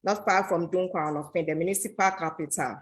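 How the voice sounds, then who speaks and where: A woman's voice: on the low side, moderate pace. A woman from Ghana, a guest speaking politely and formally over a video call. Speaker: award-winning guest.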